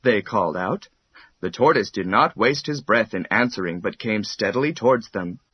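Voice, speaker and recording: Husky, expressive male storyteller. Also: lowish voice, normal speed, no room sound, lo-fi sound. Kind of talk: scripted children's bedtime story narration.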